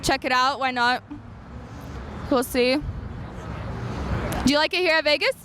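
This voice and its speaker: A woman's voice: high voice, measured pace, background noise. A young woman from Seattle chatting casually on a city street, laid-back and slangy, leaning on "literally.". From Seattle, United States. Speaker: interviewee. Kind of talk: casual chat.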